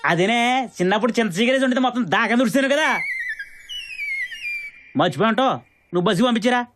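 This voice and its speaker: A man's voice: very high voice, lively intonation, fast, noisy background. A man playing a brash, chatty small-town shopkeeper relative in a comedy film, with a coastal Andhra Telugu accent and a rambling, overfamiliar manner. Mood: loud, pushy. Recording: film soundtrack with music behind.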